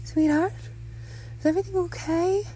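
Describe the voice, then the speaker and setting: A woman's voice: very high-pitched, fairly even intonation, moderate pace, warm tone, pitched above the performer's own voice, rough recording. A woman voicing an anxious, doting mother who is sugary, controlling and insistent in a dramatic script reading. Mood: concerned. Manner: doting, insistent, emotionally intense.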